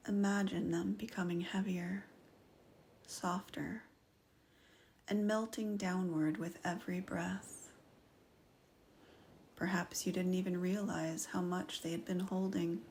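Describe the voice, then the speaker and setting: A woman guiding a meditation in a soft voice that often slips into a whisper. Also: on the low side, fairly even intonation, slow, pauses often, a little hiss or hum. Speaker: meditation guide. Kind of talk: guided meditation narration.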